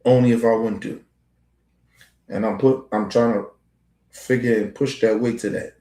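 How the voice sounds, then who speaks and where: A man's voice: on the low side, fairly even intonation, normal speed, dark tone, reverberant. A man in his forties talking in a casual, rambling monologue as a podcast host, heavy on street slang and profanity. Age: 40s.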